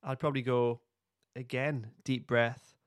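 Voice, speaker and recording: A man's voice: mid-range voice, flat delivery, measured pace, no room sound, studio quality. A man co-hosting a podcast in loose, informal conversation. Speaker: podcast co-host. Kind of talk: casual chat.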